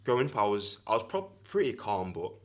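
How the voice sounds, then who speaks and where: A man's voice: fairly low pitch, sing-song, fairly quick. A young man with a Yorkshire accent, chatting as an interview guest in a laid-back, rambling way. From Sheffield, England. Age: young adult. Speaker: guest athlete. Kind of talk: casual interview chat.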